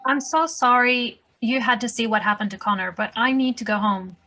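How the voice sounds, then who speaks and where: A woman's voice: on the high side, normal speed, bright, forceful tone, slight background noise. A woman playing an anxious but determined wife in a table-read drama. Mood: earnest, determined. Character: anxious, determined wife wanting to find her husband.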